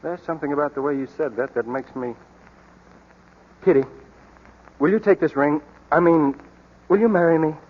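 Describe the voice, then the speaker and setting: An actor playing an earnest, brisk young doctor in love in a 1940s radio drama. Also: male voice, a little high, close to an everyday voice. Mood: nervous, earnest. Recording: muffled, noisy 1940s radio broadcast.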